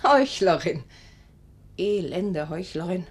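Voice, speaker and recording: An actress playing a bitter, haughty forty-year-old daughter with biting, theatrical radio drama delivery. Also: female voice, on the low side, animated, takes it slowly, slightly rough, heavily acted. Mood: scornful, bitter. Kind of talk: 1950s German radio drama acting.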